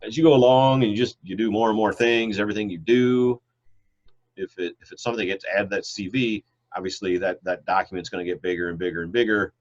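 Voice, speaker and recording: A man's voice: fairly low pitch, steady tone, fast. A middle-aged man who says he is congested, teaching a biology class over a video call in a loose, rambling way with many fillers. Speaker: biology professor. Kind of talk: online class lecture with questions. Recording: dull lo-fi video call audio.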